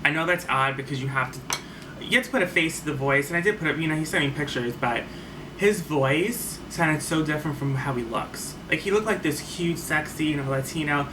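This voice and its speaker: A man's voice: a little high, a little fast. A man telling a personal story on his vlog in a casual, rambling way, full of fillers and restarts. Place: at home. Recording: faint far-off mic with noticeable background noise.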